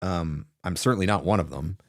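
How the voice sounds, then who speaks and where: Low-voiced man giving casual advice. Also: fairly quick, no echo, clean studio sound. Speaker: host, voice-over marketing coach. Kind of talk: casual livestream advice talk, answering comments. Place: small indoor home studio. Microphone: close desk microphone.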